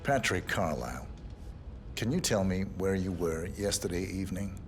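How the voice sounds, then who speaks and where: An actor playing a calm, formal private detective questioning suspects in a video game, terse and controlled. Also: male voice, sits low, moderate pace. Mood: businesslike. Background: background music.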